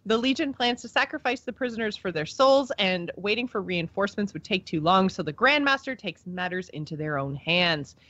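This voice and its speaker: Woman, podcast co-host, medium pitch, chatty and informal, slightly lo-fi, dry recording. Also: expressive, brisk, hardly any pauses, fairly bright tone, no room sound. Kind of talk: casual game lore discussion and recap.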